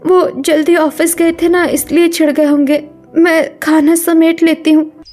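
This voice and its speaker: A woman's voice: very high-pitched, flat delivery, unhurried, faint music behind. A woman voicing a meek, dutiful, hurt young daughter-in-law in a family drama story, deferential and often tearful. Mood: timid. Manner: soft-spoken, deferential, tearful.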